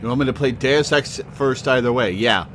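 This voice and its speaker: A man's voice: mid-range voice, normal speed, a bit bright, a little hiss or hum. A man hosting a livestream in a casual, rambling way, musing and thinking aloud as he goes. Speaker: livestream host. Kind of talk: casual rambling stream chat.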